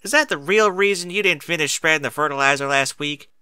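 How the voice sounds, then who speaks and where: Man playing a bright, bossy farm mare. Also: a little high, fairly quick, smooth, dry recording, clean studio sound, voice pushed up. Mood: scolding. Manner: folksy, plain-spoken. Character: folksy farm mare, teasing, bossy big sister.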